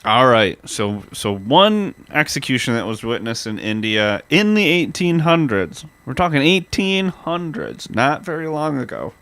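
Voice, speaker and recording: A man's voice: mid-range voice, very animated, a little slow, hardly any pauses, fairly bright tone. A man co-hosting a podcast, casually recounting history and laughing along with his co-host. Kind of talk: casual chat.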